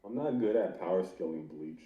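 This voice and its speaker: A man's voice: low voice, lively intonation, brisk, phone-quality sound. A man hosting a podcast in casual, slangy chat, often saying bro. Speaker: podcast host. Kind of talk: casual chat about anime. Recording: roomy echo with background noise.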